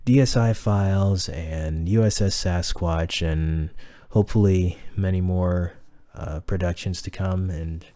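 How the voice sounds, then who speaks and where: A man's voice: sits low, steady tone, a little slow, hardly any pauses, mellow, no room sound. A man speaking as a director, giving casual, reflective commentary in an informal way. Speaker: audio drama director.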